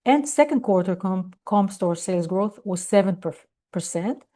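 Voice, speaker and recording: A woman company executive presenting on an earnings call in a formal, measured business manner. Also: medium pitch, warm tone.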